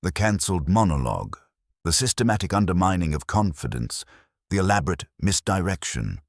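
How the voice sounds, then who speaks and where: A man narrating a scripted mystery story in a measured, formal storytelling manner. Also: low-pitched, big pitch swings, no echo, clean studio sound.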